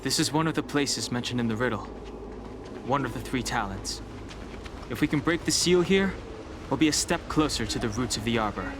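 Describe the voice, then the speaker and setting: An actor playing a reserved, serious man who knows ancient lore in a video game, speaking in a measured, restrained way. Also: mid-range voice, background noise, lightly acted. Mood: solemn, reserved. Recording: music under the voice.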